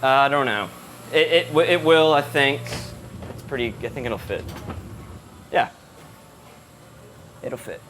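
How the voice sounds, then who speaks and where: A man's voice: medium pitch, expressive, a little slow, long pauses, a bit bright, faint music behind. A man chatting casually and swearing in exasperation as he works his way through a building. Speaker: vlogger and sound technician hauling PA gear into a venue. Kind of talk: casual chat while working. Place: indoors in building hallways and elevators. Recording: noisy location sound inside a building.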